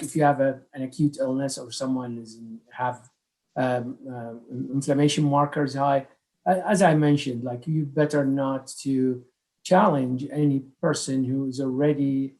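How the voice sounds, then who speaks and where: Man explaining haltingly, mid pitch. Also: darkish tone, poor audio. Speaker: medical expert on a panel. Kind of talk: answering an audience question in a webinar.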